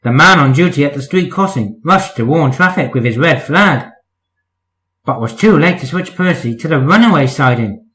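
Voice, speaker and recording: A man's voice: a little high, expressive, moderate pace, fairly bright tone, low-quality recording. A man narrating a scripted children's story as a storyteller.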